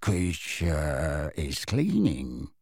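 A man voicing a very old, bitter house elf servant in an audiobook, muttering spitefully in a hoarse, deep croak. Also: sing-song, darkish tone, dry recording, clean studio sound, full character voice. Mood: feigned servility. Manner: muttering under his breath, slow and doggedly resentful. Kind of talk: audiobook character voice.

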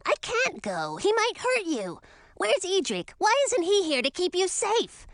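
A woman voicing an adolescent male dragon, eager and childlike, pleading in a high voice. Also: huge pitch swings, a little slow, dry recording, studio quality, heavily acted. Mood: whiny.

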